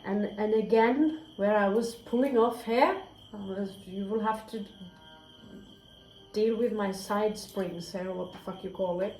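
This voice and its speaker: A woman's voice: medium pitch, a little slow, darkish tone, faint music behind. A woman giving a casual tarot reading, candid and chatty, swearing freely and dropping into whispered asides. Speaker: tarot reader. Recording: roomy echo, faint crickets.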